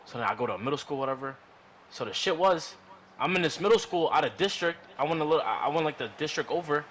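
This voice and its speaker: A man rambles through a casual, profane story, slangy and animated, often repeating himself. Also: fairly high pitch, fast talker. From New York. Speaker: podcast storyteller. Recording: noisy room recording.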